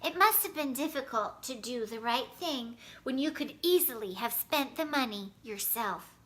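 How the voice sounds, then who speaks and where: A woman's voice: a little high, expressive, normal speed, fairly bright tone. A woman playing a grateful, generous male toy shop owner in a children's storybook.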